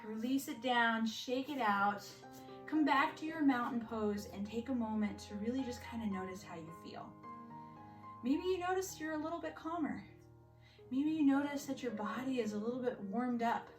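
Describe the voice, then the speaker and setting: A woman teaching yoga and mindfulness to children, giving gentle, reassuring step-by-step instructions. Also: a little high, lively intonation, moderate pace. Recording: roomy echo, distant mic, music behind.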